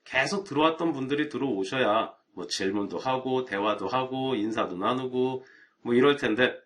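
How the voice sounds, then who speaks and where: A man's voice: medium pitch, a bit bright. A middle-aged man, an IT networking instructor, talking casually and chattily to viewers on a live stream, with rhetorical asides.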